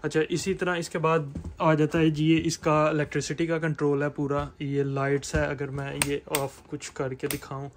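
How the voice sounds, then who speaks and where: Young guy doing a casual tour vlog, highish voice, explains as he walks, some background noise. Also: completely flat delivery, moderate pace. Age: young adult. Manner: explanatory, talking while walking around. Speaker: vlogger giving a tour. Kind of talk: casual walk-through tour narration. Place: outside by a camper, then inside its small interior. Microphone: phone at arm's length. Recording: handheld recording with clear background noise.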